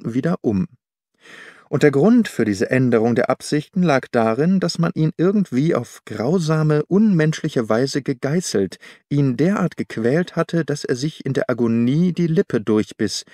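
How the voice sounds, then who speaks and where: A man reading a literary detective story as an audiobook narrator, in a measured, precise and clearly enunciated way. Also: mid-range voice, darkish tone, no echo, studio quality. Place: dry recording studio.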